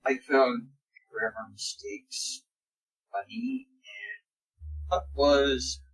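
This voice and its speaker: A man reading fan fiction aloud and commenting on it casually, in a halting manner. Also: on the high side, sing-song, a bit bright, husky, poor audio. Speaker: fan fiction podcast reader.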